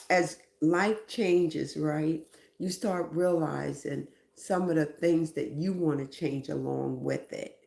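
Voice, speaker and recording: A woman's voice: deep voice, vocal fry, dark tone, echoey room. A woman hosting a live stream, giving reflective motivational talk and often asking "right?".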